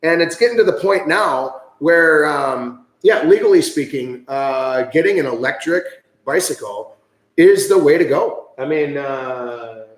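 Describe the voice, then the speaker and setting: Upbeat American host, higher voice, echoey. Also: male voice, animated, unhurried. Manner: enthusiastic, chatty, many fillers. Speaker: livestream host. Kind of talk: casual livestream chat.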